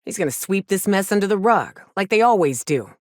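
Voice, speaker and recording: A woman's voice: medium pitch, animated, a little fast, dry recording, studio quality, lightly acted. An actress playing a jaded, hard-drinking frontier mercenary woman in a video game, blunt, sardonic and defiant, with salty phrasing. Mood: disgusted. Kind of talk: video game character dialogue.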